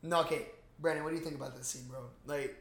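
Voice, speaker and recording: A man with a fairly high voice speaks in a very echoey room. He is a podcast host in loose, casual recap mode, full of slang and swearing, as if talking to a close buddy. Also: steady tone, quick pace, a bit bright. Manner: profane, slangy bro talk. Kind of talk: casual movie recap chat.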